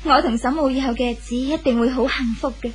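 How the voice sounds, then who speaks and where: Woman acting a high-voiced, gentle sweetheart. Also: fairly even intonation, fast, lightly acted. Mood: tender, hopeful. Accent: Hong Kong Cantonese. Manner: soft-hearted, pleading, earnest. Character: gentle, devoted young woman in love, conciliatory. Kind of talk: 1970s Cantonese radio drama acting. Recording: noisy old radio drama recording.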